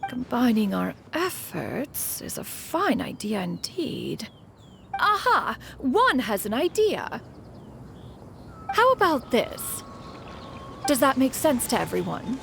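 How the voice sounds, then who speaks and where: A woman's voice: a little high, huge pitch swings, takes it slowly, creaky, fairly bright tone, slight background noise, exaggerated voice. A woman playing a proud, formal immortal master in a video game, fussy yet caring, with haughty, archaic phrasing. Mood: pondering, confident. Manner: haughty, archaic, refers to self as one.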